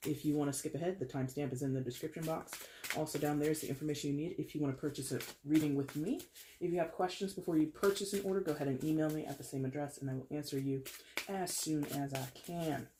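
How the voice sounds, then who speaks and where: Stuffy-nosed tarot reader, chatty and rambling, mid-pitched, clearing a dry throat. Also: steady tone, fast talker, background noise. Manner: chatty, rambling, self-correcting with small laughs. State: congested with a head cold. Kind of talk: casual tarot reading to camera.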